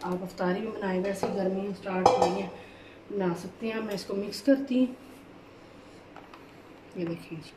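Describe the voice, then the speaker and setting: A woman's voice: medium pitch, fairly even intonation, normal speed, pauses often, very noisy. Chatty woman demonstrating a recipe at home. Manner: chatty, keeps inviting viewers to look. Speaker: cooking channel host. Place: home kitchen, indoors. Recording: home kitchen with utensil clatter. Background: clattering dishes and utensils.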